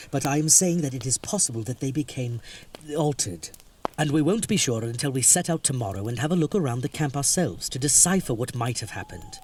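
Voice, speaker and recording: A man plays an older doctor in an audio drama, careful and formal in his wording. His mid-pitched voice moves expressively as he reassures. He sounds slightly distant, over faint noise. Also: lightly acted. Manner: measured, formal, careful phrasing. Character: reassuring, rational older doctor on a wilderness trip. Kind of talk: horror audio drama acting.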